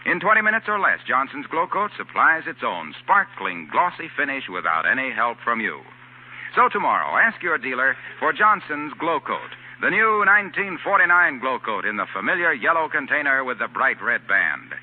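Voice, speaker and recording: A man's voice: mid-range voice, very animated, normal speed. A man working as a radio announcer, reading introductions and sponsor copy with polished, emphatic 1940s salesmanship. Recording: tinny 1940s radio broadcast.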